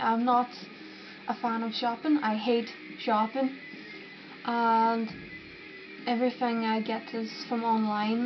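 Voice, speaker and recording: A young woman from Northern Ireland with a Northern Irish accent, chatting casually to camera and answering viewer questions. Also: fairly high pitch. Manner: chatty, rambling, with fillers and restarts. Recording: dull lo-fi sound with noticeable background noise.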